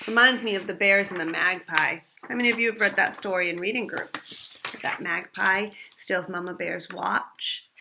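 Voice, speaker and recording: A woman reading a picture book aloud to children, expressive and pausing to ask them questions. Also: medium pitch, slight background noise.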